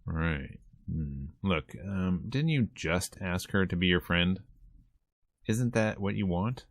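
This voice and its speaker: A man streaming a visual novel, reading the narration aloud in a conversational, expressive way. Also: low voice, slow, no echo, studio quality. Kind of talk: game let's-play, reading narration aloud.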